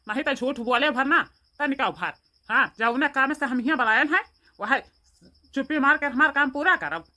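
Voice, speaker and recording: A woman's voice: high-pitched, moderate pace, pushed and bright. A woman voicing an angry, threatening village man in a cartoon, with an Awadhi accent and a hectoring, repetitive delivery. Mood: menacing. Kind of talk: amateur cartoon voice acting.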